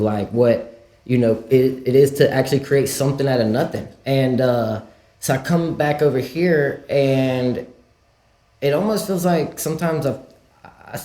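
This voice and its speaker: A man's voice: mid-range voice, warm tone, echoey room. A man from Louisiana and Mexico with a mixed accent, a podcast guest telling stories in loose casual talk full of "like"s and restarts. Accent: Louisiana-Mexican mix. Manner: loose storyteller, many "like"s and restarts. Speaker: guest, skateboarder.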